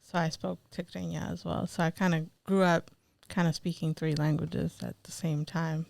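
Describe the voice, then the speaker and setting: A woman's voice: sits low, flat delivery, normal speed, creaky, darkish tone, no echo. A woman who grew up in Ethiopia, co-hosting a podcast in easygoing, casual conversation. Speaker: podcast co-host.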